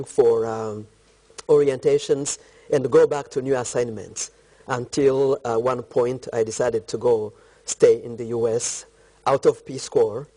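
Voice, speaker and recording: A middle-aged man from eastern Congo speaks with a Congolese accent as an interview guest. His voice is dark and mellow at a medium pitch. He answers deliberately, in short, halting phrases. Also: rough recording. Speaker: interview guest, country director of a volunteer organisation.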